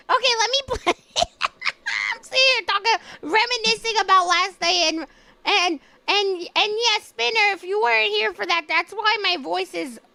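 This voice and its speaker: Hoarse young woman streamer, very high. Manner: animated storytelling, laughs often, acts out quotes. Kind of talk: casual live-stream chat.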